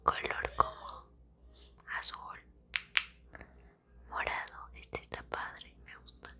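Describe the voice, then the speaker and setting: A woman in her twenties with a Mexican accent speaks in a soft, breathy, intimate whisper, chatting casually in ASMR style close to the microphone. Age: 20s. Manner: soft-spoken, intimate, unhurried. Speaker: ASMR video creator. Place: small indoor room at home.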